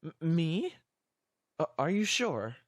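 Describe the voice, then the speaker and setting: A performer voicing an apologetic, flustered father pony in an animated fan drama, stammering and hesitant. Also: medium pitch, takes it slowly, no room sound, clean studio sound. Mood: flustered, surprised.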